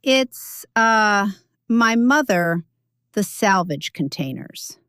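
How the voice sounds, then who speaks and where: Woman narrator playing status-conscious grown man, flustered and halting, pitched up, audio story. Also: lively intonation, fairly bright tone, clean tone, no echo, studio quality.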